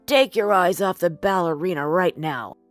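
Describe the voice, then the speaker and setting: A woman's voice: on the low side, normal speed, no echo, close to an everyday voice. A jealous, bossy little toy troll acted out by a woman from a children's fairy tale. The voice scolds and gives orders in a dry, close recording, with faint music underneath. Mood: threatening, jealous. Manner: scolding, commanding. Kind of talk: children's storybook character voice.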